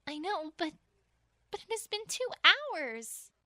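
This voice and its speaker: Woman as high-voiced, anxious young mare. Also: sing-song, takes it slowly, pushed and bright, dry recording, studio quality, heavily acted. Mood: worried. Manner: earnest, hesitant, worried. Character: anxious, caring young adult female unicorn pony. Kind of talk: cartoon character acting in audio story.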